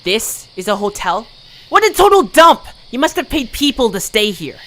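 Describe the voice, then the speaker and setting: A woman's voice: fairly high pitch, very animated, moderate pace, sharp tone. A woman playing a cocky, dismissive little creature in an audio story. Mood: scornful. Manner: scoffing, swaggering.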